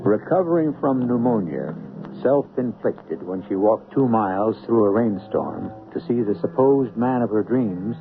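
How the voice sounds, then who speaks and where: A man narrating a measured, grave recap as host of a radio drama. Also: on the low side, animated. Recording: tinny old radio broadcast, faint music.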